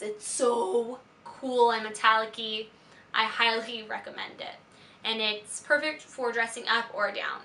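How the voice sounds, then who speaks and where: Bright, high-voiced young American woman vlogger. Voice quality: slightly raspy. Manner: gushing, informal, emphatic. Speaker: lifestyle vlogger. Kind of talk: casual chatty product favorites video. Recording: roomy echo, faint background noise.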